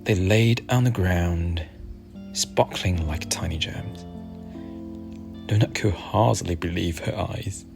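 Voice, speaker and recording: A man softly narrating a soothing ASMR bedtime story in a gentle, unhurried, hushed manner over music. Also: deep voice, vocal fry. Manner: gentle, hushed, unhurried, at times near a whisper. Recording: music behind the voice.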